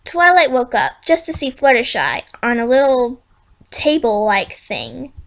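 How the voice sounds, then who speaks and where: An amateur female reader narrates a story aloud. Her voice is very high and girlish. The pitch moves around in an animated way. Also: a little slow. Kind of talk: storybook narration of fan fiction.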